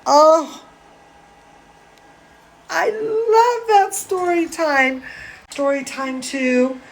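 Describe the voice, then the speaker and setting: A woman reading a picture book aloud to children, adding chatty asides in an expressive, drawn-out way. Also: extremely high pitch, vocal fry, a little hiss or hum, poor audio. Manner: animated, drawn-out, expressive delivery. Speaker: storyteller, dance studio teacher.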